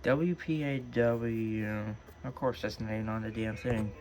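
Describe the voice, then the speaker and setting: A man's voice: fairly low pitch, steady tone, measured pace, darkish tone. A man casually showing off his hobby gear in his room, rambling and hesitating as he thinks aloud. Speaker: vlogger, radio hobbyist. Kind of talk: casual unscripted show-and-tell. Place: indoors in his own room. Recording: clearly noisy home recording.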